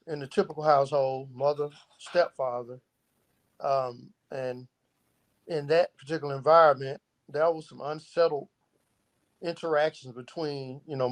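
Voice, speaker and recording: Higher-voiced middle-aged male guest. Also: poor audio. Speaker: interview guest, founder of a youth organisation. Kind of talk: casual reflective interview talk.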